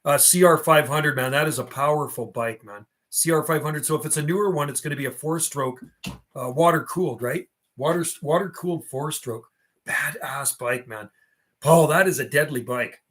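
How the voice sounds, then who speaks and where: A middle-aged man with a slightly higher-than-usual voice hosts a casual livestream. He rambles in loose, slangy phrases, reminiscing as if chatting with friends. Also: fairly bright tone, slight background noise. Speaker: livestream host.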